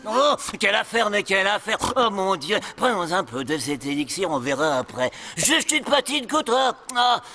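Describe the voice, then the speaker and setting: Sharp high-voiced fretting cartoon courtier. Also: male voice, sing-song, moderate pace, few pauses, slight background noise, exaggerated voice. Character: agitated, fretting tippler at the royal court. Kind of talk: French cartoon dubbing.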